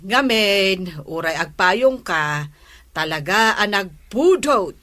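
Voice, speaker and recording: Bright-voiced chatty village gossip. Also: female voice, medium pitch, expressive, slow. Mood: chatty, fretful. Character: chatty village woman bothered by heat. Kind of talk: Ilocano comedic radio drama acting.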